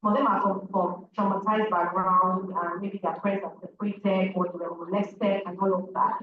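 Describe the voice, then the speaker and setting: A woman's voice: mid-range voice, little pitch movement, normal speed, a crowd in the background. A woman with a Nigerian accent, a native Igbo speaker, giving an earnest, emphatic talk as a speaker at a public event. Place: large event hall. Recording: echoey hall, distant muffled mic, audience noise.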